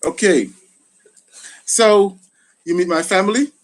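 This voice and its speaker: Man, Jamaican accent, middle-aged, slightly high voice, casual livestream host, appreciative. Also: slow. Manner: appreciative, thanking people often. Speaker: gardening channel host, retired soldier. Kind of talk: casual live chat with viewers.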